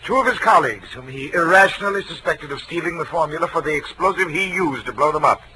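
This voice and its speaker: A man's voice: fairly high pitch, animated, fast, natural voice. A man playing a grave, evasive asylum doctor in 1940s radio style, speaking formally and with dramatic emphasis. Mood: grave, urgent. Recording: noisy vintage radio recording.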